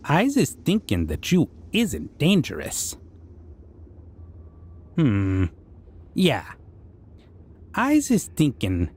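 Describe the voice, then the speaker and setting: A man's voice: medium pitch, a little mellow, husky, exaggerated voice. A man voicing a civilized goblin king in an audio roleplay, sly and menacing yet amused, musing in broken grammar with hums. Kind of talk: character audio roleplay addressed to listener.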